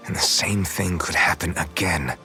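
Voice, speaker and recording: A man voicing a brooding, self-doubting young swordsman hero in a video game, sombre and halting in his speech. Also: low-pitched, sharp tone, heavily acted. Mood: guilt-ridden. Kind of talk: anime video game dub acting. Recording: game dialogue over background music.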